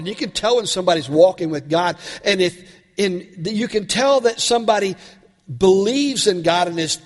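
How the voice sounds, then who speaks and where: A male pastor, raspy from a cold, preaching a sermon with earnest questions to his congregation. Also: high voice, big-room sound.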